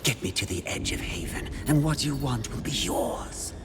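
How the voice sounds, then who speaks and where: A man's voice: medium pitch, animated, moderate pace, lightly acted. A man playing a seductive, mocking demon of lust in a video game, theatrical, coaxing and taunting. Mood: contemptuous. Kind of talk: dramatic video game acting. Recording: music under the voice.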